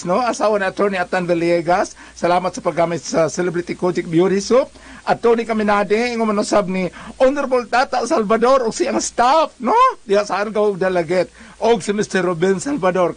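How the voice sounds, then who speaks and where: A man with a very high voice and a Cebu accent hosts on radio. He gives greetings and sponsor shout-outs in a conversational way. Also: no echo. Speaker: radio host.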